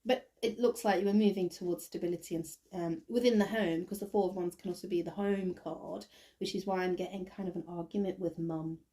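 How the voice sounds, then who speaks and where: A woman with a croaky voice doing a casual tarot reading to camera, thinking aloud with many ums and restarts. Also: on the low side, steady tone, brisk, warm tone. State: croaky, recovering from bronchitis. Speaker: tarot reader.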